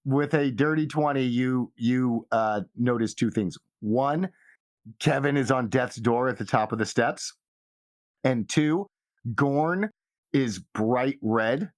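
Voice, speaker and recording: A man's voice: mid-range voice, slow pace. A man speaking as game master of a tabletop role-playing show, in casual narration.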